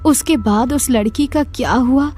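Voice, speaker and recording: A woman's voice: high-pitched, a little slow, warm tone, soft background music. A woman voicing a troubled middle-aged mother in a horror cartoon story. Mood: grave, uneasy. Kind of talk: Hindi horror cartoon dialogue.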